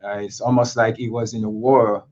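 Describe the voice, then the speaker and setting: A cardiologist originally from Haiti, speaking in an interview and pausing often with filler sounds. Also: male voice, on the low side, little pitch movement, a little mellow, highs cut off. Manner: hesitant, many filler sounds. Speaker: cardiologist guest. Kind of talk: interview conversation.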